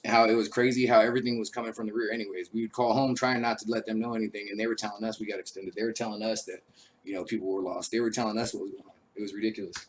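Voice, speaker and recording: Raspy guy with a cold, lowish voice, rambling host talk with lots of uhs. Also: steady tone, racing, a little hiss or hum, rough recording. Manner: laid-back, rambling, frequent uh fillers. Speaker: show host; army veteran. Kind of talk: casual live-stream talk.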